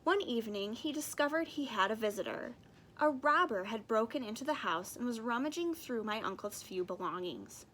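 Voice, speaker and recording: A woman reading a children's picture book aloud as an expressive storyteller. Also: fairly high pitch, moderate pace, a little hiss or hum, rough recording. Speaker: storybook reader.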